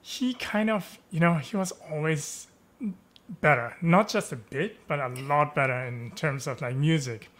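An easygoing man from Germany, German his first language, chats over a headset from home on a video call. His voice sits a little high for a man, and he laughs and says "right?" often. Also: measured pace, a bit bright. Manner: easygoing, chuckles often, many fillers and tag questions. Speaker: guest. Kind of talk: casual interview chat.

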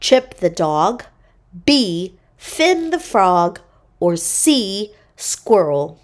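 Very slow, animated woman reading to kids. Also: medium pitch. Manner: deliberate, clearly separated phrases. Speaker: read-aloud host. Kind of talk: children's read-aloud and quiz narration.

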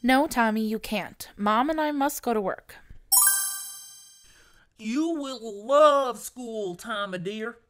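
A woman's voice: fairly high pitch, takes it slowly, long pauses, no room sound, soft background music, voice pushed down. A woman voicing a loving parent in a picture book, coaxing and soothing a small child. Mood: firm but tender. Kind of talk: picture book character reading.

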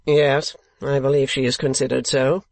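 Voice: mid-range voice, little pitch movement, moderate pace, creaky, darkish tone, dry recording, natural voice. A narrator playing a shrewd old man, a sneering moneylender in a storybook, dry and falsely deferential. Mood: sneering flattery.

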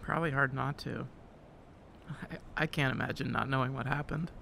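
A man's voice: mid-range voice, steady tone, normal speed, vocal fry, bright, forceful tone, slight background noise. An actor playing a supportive young male friend, casual, tentative and wry, in a naturalistic audio drama. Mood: gentle.

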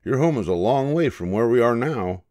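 A man's voice: medium pitch, lively intonation, normal speed, warm tone, pitched above the performer's own voice, close to an everyday voice. A man voicing a friendly, helpful male ship's cat in a storybook, speaking politely and reassuringly. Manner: reassuring, politely well-spoken.

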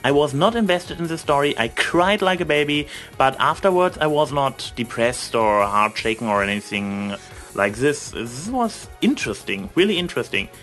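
A man rambling casually as a vlogger, telling things in an animated, emphatic way and stretching out some words. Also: medium pitch, normal speed, soft background music.

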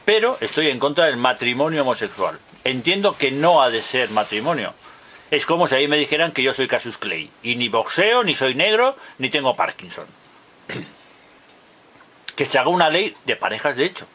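A man's voice: mid-range voice, big pitch swings, normal speed, bright, forceful tone, slight background noise. A man in his fifties from Barcelona, delivering an emphatic, opinionated monologue to a close webcam and repeating his points insistently. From Barcelona, Spain. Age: 50s. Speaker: video blogger. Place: indoors in front of a blue wall.

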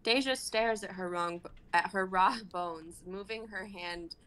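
A woman's voice: mid-range voice, unhurried, fairly bright tone, clean tone. A woman in her twenties reading stage directions aloud as narrator in a dramatic script reading. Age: 20s. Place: home room on a group video call.

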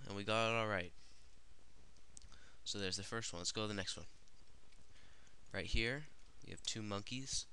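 A young man with a cold and a nasal voice, thinking aloud slowly as he types code in a casual screencast. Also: sits low, bright, forceful tone, clear, resonant tone. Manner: thinks aloud while typing, slow halting dictation. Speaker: channel host.

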